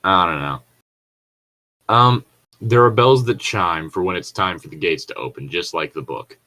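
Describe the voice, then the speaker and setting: A man's voice: low-pitched, moderate pace, sharp tone. A man co-hosting a casual read-along, reading stories aloud and breaking off into rambling, joking commentary. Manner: rambling, jokey, chuckles at own remarks.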